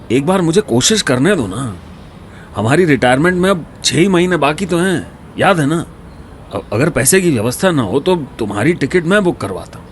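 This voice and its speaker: An actor playing an aging university dean in a radio drama, talking in an earnest, coaxing way as a concerned old friend. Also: male voice, on the high side, a little hiss or hum. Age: older. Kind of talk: Hindi radio drama dialogue.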